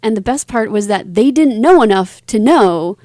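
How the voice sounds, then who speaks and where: A woman's voice: fairly high pitch, expressive, moderate pace, mellow, no echo, studio quality. A woman talking casually as an interview guest, explaining things thoughtfully in long linked phrases. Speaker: interview guest, singer, author and voice actor.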